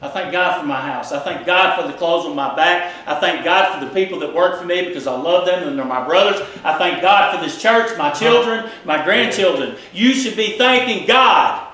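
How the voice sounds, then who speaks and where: A middle-aged man, a pastor preaching a folksy, animated sermon in a small church. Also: high-pitched, moderate pace, hardly any pauses. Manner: folksy, animated, gesturing widely while preaching. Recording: echoey church room.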